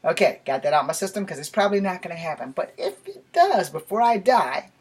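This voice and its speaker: Man in his forties, American accent, slightly high voice, casual digressive vlogging, faint noise, home camera mic. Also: sing-song, fairly quick. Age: 40s. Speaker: YouTube vlogger. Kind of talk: rambling casual talk to camera. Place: small cluttered bedroom. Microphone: camera a few feet away. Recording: noisy home camera recording with clicks.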